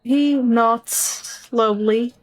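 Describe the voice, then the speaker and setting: A woman acting as game master, narrating a tabletop role-playing game in a descriptive, deliberate way. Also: on the high side, steady tone, no room sound, low-quality recording.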